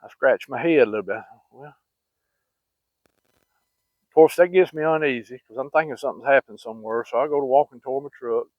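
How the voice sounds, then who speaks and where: A middle-aged man rambles through a hunting story in a folksy way, full of fillers. His voice sits at an ordinary pitch. The sound is narrow and phone-like, with no room echo at all. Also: a little fast, long pauses, a little mellow. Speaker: channel host telling hunting stories. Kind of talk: casual storytelling.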